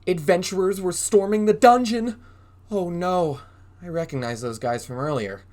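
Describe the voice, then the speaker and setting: A man reading a storybook aloud as a preschool drama teacher, in an exaggerated, animated way, prompting young listeners with questions. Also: sits high, moderate pace. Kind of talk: interactive children's read-aloud.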